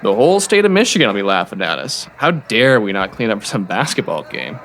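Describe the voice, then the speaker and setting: A disgruntled high school student played by an actor in an audio drama. The voice is animated, with big pitch swings as the student grumbles. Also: fast talker, a little hiss or hum. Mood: disgusted. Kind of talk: scripted audio drama acting.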